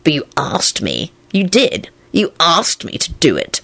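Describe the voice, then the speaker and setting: A woman voicing a sly male werewolf wizard, pleasant and teasing in a low voice. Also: wildly swooping pitch, a little slow, vocal fry, fairly bright tone, exaggerated voice. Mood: cheerful.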